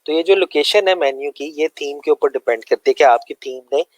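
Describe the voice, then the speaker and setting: A man's voice: a little high, fairly quick, dry recording. A man with a sore throat, giving a loose, conversational screen-share lesson full of fillers. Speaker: web development tutor.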